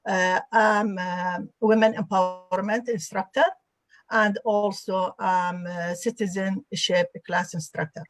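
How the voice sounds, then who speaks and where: A woman from Iraq hosts a roundtable over a video call, politely introducing each guest. Her speech is halting and full of fillers. The sound is dry, with no room echo, and her pitch sits in the middle. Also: steady tone. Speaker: host and adult education instructor.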